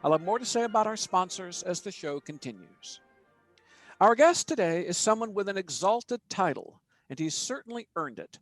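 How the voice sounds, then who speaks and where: A man hosting an interview podcast, chatty and quick to laugh. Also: sits high, lively intonation, no room sound, faint music behind. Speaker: podcast host.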